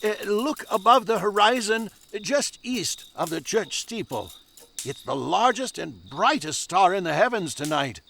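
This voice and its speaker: A gentle old-school professor, gravelly and slow, faint crickets behind, audio drama. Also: female voice, mid-range voice, expressive, slight background noise. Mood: kindly, patient. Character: kindly stargazing professor, patient with a child. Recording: night crickets and clicks behind.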